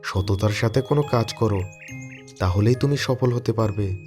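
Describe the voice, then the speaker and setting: An honest elder brother who runs a small shop in a cartoon story, as a man acts him out. He gives earnest, caring advice. His voice is mellow and fairly low. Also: normal speed, faint music behind, lightly acted. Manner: earnest, advising.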